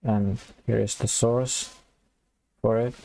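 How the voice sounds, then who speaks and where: A man teaching a coding lesson, thinking aloud and restarting phrases as he types, his voice slightly hoarse. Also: fairly low pitch, little pitch movement, takes it slowly, warm tone. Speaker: programming tutor. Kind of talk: casual screen-recorded coding tutorial.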